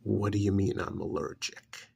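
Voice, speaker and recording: A man playing a bitter, contemptuous alien creature posing as an uncle in an ASMR roleplay, with stern, emphatic, gravelly delivery that turns breathy at times. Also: lowish voice, fairly even intonation, moderate pace, darkish tone, slight background noise. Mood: incredulous.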